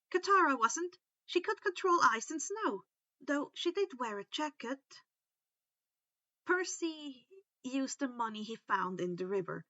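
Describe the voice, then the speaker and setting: High, lively woman reading a story aloud. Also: dry recording, dull audio. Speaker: reader of fan fiction for online listeners. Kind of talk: expressive audiobook-style story narration.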